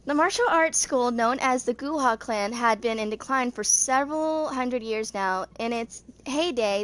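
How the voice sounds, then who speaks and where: A woman in her twenties with a fairly high voice reads lore text aloud. Her delivery is that of an amateur narrator, a little halting, with a tendency to trip on longer words. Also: a bit bright. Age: 20s. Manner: halting reading, stumbling over longer words. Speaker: video creator reading game character lore.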